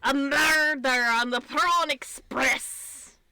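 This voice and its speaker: A woman's voice: high voice, extremely slow, gravelly, pushed and bright, dry recording, heavily acted. A woman voicing a boisterous seafaring father with a loose attempt at a Scottish accent and a theatrical, blustering manner.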